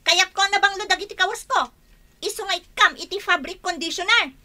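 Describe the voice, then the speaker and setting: A woman's voice: extremely high pitch, a little fast, bright, forceful tone, heavily acted. A woman playing a spoiled, bratty young woman in an Ilocano radio drama, talking in an imperious, whiny way. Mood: bratty scolding. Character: bratty, spoiled young woman ordering her cousin around.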